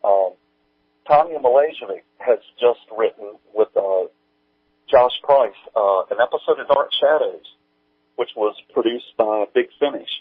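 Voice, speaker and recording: A man speaking as an interview guest, chatting casually in a halting, folksy, informal way. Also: medium pitch, slightly rough, no room sound. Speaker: guest, audio drama creator. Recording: tinny, phone-like band-limited line.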